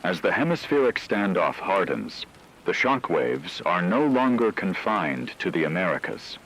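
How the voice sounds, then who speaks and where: A man playing a solemn radio anchor, reading a scripted emergency broadcast in a measured, ominous manner. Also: deep voice, big pitch swings, fairly bright tone, heavily acted, rough recording. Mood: grave, ominous. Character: grave male radio anchor reporting a looming war.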